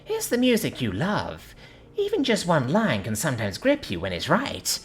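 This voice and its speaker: Gentle young female rabbit with a soft, encouraging manner, as a man puts her on. The voice is lifted higher than his own and rises with lively pitch, in a storybook telling. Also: moderate pace. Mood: kind.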